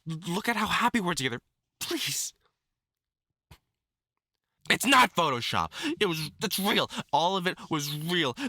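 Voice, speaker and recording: Man acting a bright-voiced, obsessive lovesick supervillain. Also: on the high side, no room sound. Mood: desperate, insistent. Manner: pleading, stammering, theatrical endearments. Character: obsessive lovesick male supervillain with fur, desperate and menacing. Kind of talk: dramatic audio roleplay, intimate monologue to listener.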